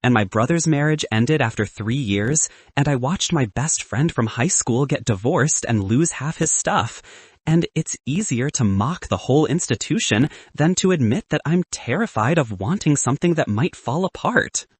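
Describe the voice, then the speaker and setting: Wry, husky cynical best man read by a man. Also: a little high, animated, moderate pace, no room sound. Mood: apologetic, confessional. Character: cynical, self-deprecating best man, nervously sincere romantic lead. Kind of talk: audiobook character dialogue.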